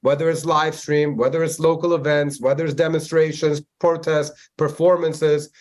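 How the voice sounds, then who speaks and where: A man in his forties, born in Germany to a Persian family, answering interview questions in a measured, reflective way with frequent filler words. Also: fairly high pitch, level tone. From Germany, of Persian family, moved to the US at ten. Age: 40s. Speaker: podcast guest, community organizer. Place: Miami.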